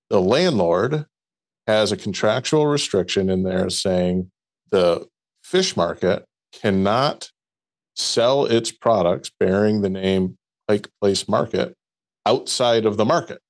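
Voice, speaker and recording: Midwestern male co-host, measured and explanatory, low pitch. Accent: Midwestern American. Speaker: podcast co-host, lawyer. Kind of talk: casual legal podcast chat.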